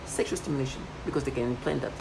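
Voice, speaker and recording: A woman's voice: very deep voice, very fast, gravelly. A woman in her thirties in a rambling personal monologue, agitated and repetitive, with many restarts. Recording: noisy home recording.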